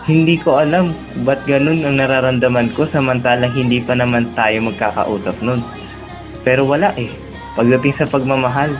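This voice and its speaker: A man with a Filipino accent playing a gentle, lovelorn young man who speaks earnestly and tenderly in a romantic radio drama. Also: medium pitch, natural voice. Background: music.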